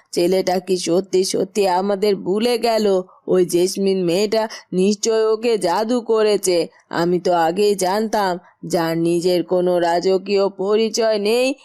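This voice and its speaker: A woman's voice: medium pitch, warm tone, voice pushed down, close to an everyday voice. A woman voicing a greedy, nagging middle-aged village headman's wife in a fairytale cartoon.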